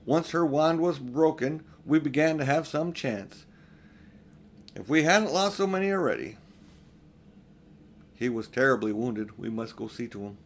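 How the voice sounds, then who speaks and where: A man's voice: mid-range voice, expressive, measured pace, pauses often. An older man voicing an earnest young king in a storybook, recounting a battle emphatically. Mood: concerned.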